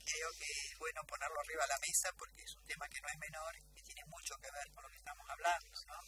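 A woman's voice: sits low, huge pitch swings, fast talker, heavy background noise. An Argentine woman speaking earnestly as a guest in a radio discussion, emphatic and often adding short rhetorical check-in questions. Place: small radio studio. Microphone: studio desk microphone.

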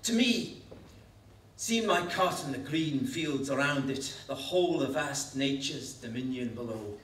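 A middle-aged man giving a dramatic poetry recital, measured and expressive, with dramatic pauses. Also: medium pitch, a little hiss or hum. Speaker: poetry reader. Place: school hall stage before an audience. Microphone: lectern gooseneck microphone. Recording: echoey hall, distant microphone.

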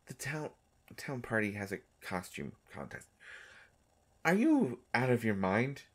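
A man voicing an affectionate, mischievous vampire doctor in an intimate romantic audio roleplay, speaking in a halting, teasing way. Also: mid-range voice, big pitch swings. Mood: playfully exasperated. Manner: halting, teasing, soft-hearted speech. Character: gentle, mischievous male vampire doctor, centuries old, affectionate.

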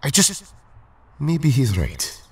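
A man acting a protective, patient but exasperated young Russian superhero in an audio drama, speaking haltingly and expressively. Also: mid-range voice, huge pitch swings, darkish tone, recorded from a distance, full character voice. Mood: gently reassuring.

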